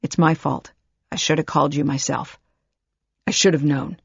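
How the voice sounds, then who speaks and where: Calm steady man, read by a woman, dull lo-fi tone. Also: low voice, normal speed, gravelly, breathy edge, dry recording, full character voice. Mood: soothing.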